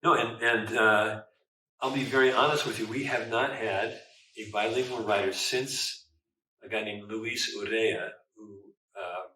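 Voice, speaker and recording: A man who is a newspaper editor, asking questions in a measured way. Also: fairly low pitch, fairly even intonation, bright, forceful tone, slight background noise. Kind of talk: interview. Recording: roomy, distant mic.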